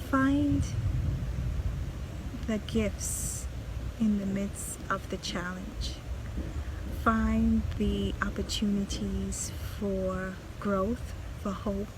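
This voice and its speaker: A woman's voice: medium pitch, noisy background. A middle-aged woman from Trinidad and Tobago who hosts a vlog and tells her own story in an earnest, reflective way, often restarting her sentences. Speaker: vlog host.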